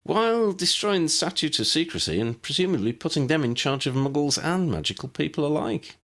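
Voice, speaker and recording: A man's voice: a little high, expressive, fairly quick, a bit bright, voice pushed up. A man voicing a serious, cautious young male wizard who leads his friends in an audiobook story.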